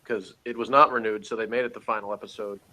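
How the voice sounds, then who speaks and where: A man's voice: on the low side, fairly even intonation, fast, sharp tone, rough recording. A man co-hosting a show, chatting casually and giving his opinions as a fan. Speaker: podcast co-host.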